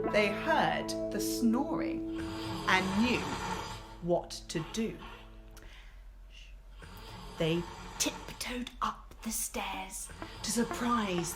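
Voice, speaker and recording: A woman telling a rhyming children's story in a theatrical, expressive way. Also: mid-range voice. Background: guitar music.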